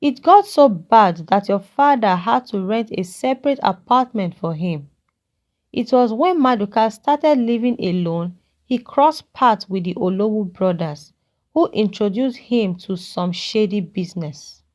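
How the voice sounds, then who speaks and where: A woman voicing a kindly, regretful old man who talks in a measured, fatherly way in a narrated folktale. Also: medium pitch, lively intonation, darkish tone, natural voice. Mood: reminiscing, regretful. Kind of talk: character dialogue in a narrated folktale.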